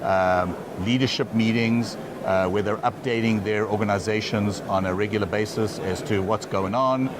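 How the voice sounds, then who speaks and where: A man's voice: lowish voice, fairly even intonation, normal speed, hardly any pauses, background noise. A man talking as a company executive in a trade show interview, often stumbling and repeating words at the start of his phrases.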